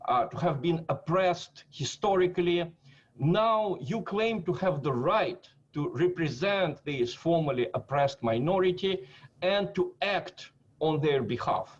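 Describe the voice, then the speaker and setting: A man speaking as a guest in a political panel discussion, halting yet emphatic, often repeating his phrases. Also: a little high, lively intonation. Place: remote call for a quarantine show. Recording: dull lo-fi connection.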